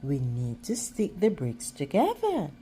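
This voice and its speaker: A woman's voice: low-pitched, huge pitch swings, unhurried, dark tone, a little hiss or hum, exaggerated voice. A woman voicing an outspoken, anxious, determined schoolgirl from a children's storybook, urgent and insistent.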